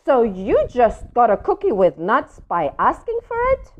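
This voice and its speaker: A woman's voice: on the high side, wildly swooping pitch, measured pace, pitched above the performer's own voice. A woman, a native Spanish speaker, voicing a grumpy, suspicious male storybook pigeon who complains with attitude. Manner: loud, exaggerated complaining. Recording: dull lo-fi audio.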